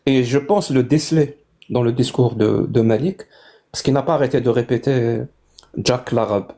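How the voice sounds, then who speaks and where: A man's voice: medium pitch, moderate pace, warm tone. A man from Algeria arguing in a live-stream debate, formal and emphatic, with rhetorical questions.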